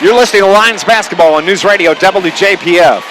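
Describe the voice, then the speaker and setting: A man's voice: high voice, brisk. A man doing live radio play-by-play of a basketball game, in clipped running phrases with his voice often raised. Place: packed high school gymnasium. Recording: loud gym crowd noise, live radio broadcast. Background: crowd, bouncing basketballs.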